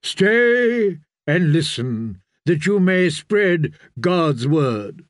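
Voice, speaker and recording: A man's voice: a little high, sing-song, drawn-out words, no room sound, studio quality, exaggerated voice. A man playing a fervent, commanding prophet priest of a sea god in a fantasy audiobook, preaching in solemn, incantatory oratory. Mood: solemn, ritual. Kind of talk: dramatised fantasy audiobook reading.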